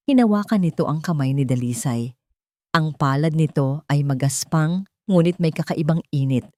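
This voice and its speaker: A woman's voice: deep voice, a little mellow, no room sound, clean studio sound. A woman storyteller reading a scripted dramatic story as narration.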